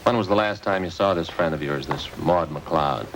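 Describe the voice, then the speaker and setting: An actor playing a police detective who questions people briskly and politely in a 1950s radio drama. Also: male voice, low voice, background noise.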